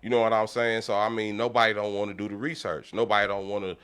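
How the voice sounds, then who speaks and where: A man's voice: fairly low pitch, flat delivery, fast, a bit bright, smooth. An American man on a podcast panel, arguing his opinion in an insistent, colloquial way and repeating his points. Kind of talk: casual opinionated debate.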